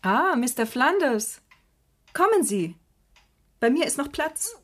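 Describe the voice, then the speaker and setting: A woman's voice: sits high, sing-song, slow, full character voice. An actress playing a gracious, inquisitive society hostess and mother past middle age in a literary radio drama.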